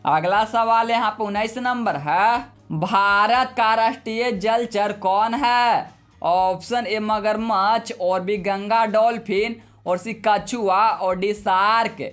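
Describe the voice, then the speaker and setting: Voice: mid-range voice, steady tone, slow, a bit bright. A quiz teacher reading exam questions and answers in a methodical, repetitive, scripted way. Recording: music bed behind the voice. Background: background music.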